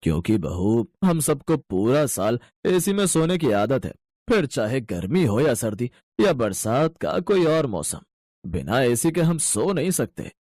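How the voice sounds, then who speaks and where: A man's voice: mid-range voice, sing-song, unhurried, a little mellow, dry recording, clean studio sound. An actor playing a stubborn, matter-of-fact family man in storybook dialogue. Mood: insistent. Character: matter-of-fact husband and in-law, stubborn about habits.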